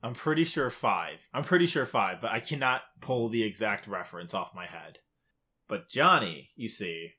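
A man's voice: lowish voice, animated, normal speed, sharp tone. A man co-hosting a podcast, casually recapping a game's story in emphatic, short clipped phrases. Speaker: podcast co-host. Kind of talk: casual video game plot recap.

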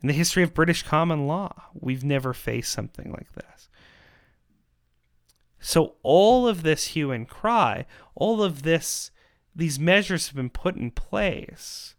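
Slow, emphatic man, clear voice. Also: fairly high pitch, expressive, dry recording, studio quality. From Canada. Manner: emphatic, rhetorical, with dramatic pauses and repetition. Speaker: podcast host and pro-life activist. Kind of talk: persuasive monologue commentary.

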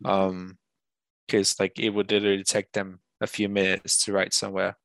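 Man, slightly low voice, monotone delivery, casual chat, nostalgic rambling manner, dry sound. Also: normal speed, gravelly, dry recording. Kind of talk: casual conversation.

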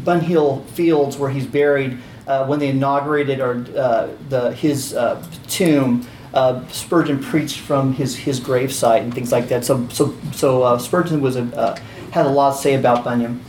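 A man's voice: fairly even intonation, a little slow, a little mellow, a little hiss or hum. A man teaching a casual class, talking in a chatty way with lots of fillers and restarts. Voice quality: squeaky. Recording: roomy, distant, faint ambience.

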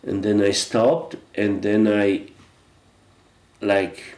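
A middle-aged man from Poland with a Polish accent, chatting as an interview guest in a halting, reflective way with frequent restarts. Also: lowish voice, flat delivery, a little mellow, roomy sound. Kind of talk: casual interview chat.

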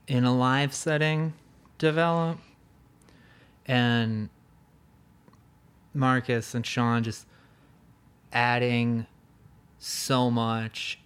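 A man's voice: medium pitch, fairly bright tone, clear, resonant tone. A man hosting a casual interview, rambling and searching for words, with an easy, swearing-in-passing manner. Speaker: podcast host.

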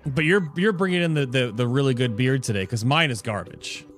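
A man's voice: mid-range voice, a little fast, fairly bright tone. A man hosting a casual gaming show in a chatty way, with joking asides and self-interruptions. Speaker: podcast and stream host. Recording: music playing behind the voice. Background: background music.

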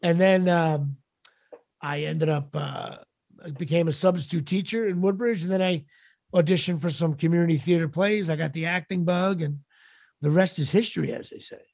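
Raspy, high-voiced New man, gravelly. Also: darkish tone, no echo. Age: middle-aged. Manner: self-deprecating, wry, halting. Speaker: podcast guest, actor and comedian. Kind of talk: casual interview storytelling.

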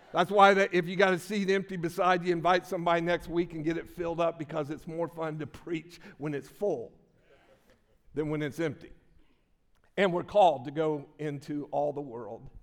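Older male pastor, folksy sermon storyteller, slightly high voice, long church reverb. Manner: folksy storytelling with many restarts. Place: church sanctuary.

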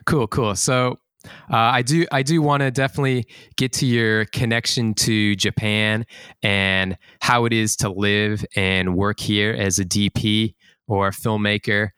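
Low-voiced podcast host with a smooth tone. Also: male voice, unhurried, dry recording, clean studio sound. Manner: relaxed, chatty, uses fillers like "cool, cool". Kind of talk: podcast interview.

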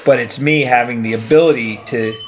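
A man's voice: medium pitch, fairly quick, lo-fi sound, slight background noise. A man in his forties talking in a casual podcast conversation, blunt and opinionated, swearing now and then. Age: 40s. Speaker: karate school owner, guest.

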